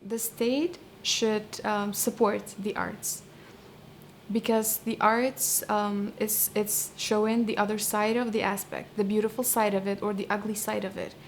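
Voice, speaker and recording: A young woman from Iraq, a poet and translator with a Kurdish accent, answering interview questions in a reflective way with many pauses and restarts. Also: mid-range voice, flat delivery, a little hiss or hum. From Iraq, Kurdish region.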